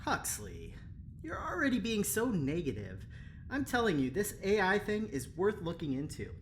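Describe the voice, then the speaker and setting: A woman playing an eager, boastful male engineer in an audio drama, talking in an animated, hesitant ramble. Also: mid-range voice, moderate pace, a little hiss or hum, lightly acted. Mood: defensive, eager.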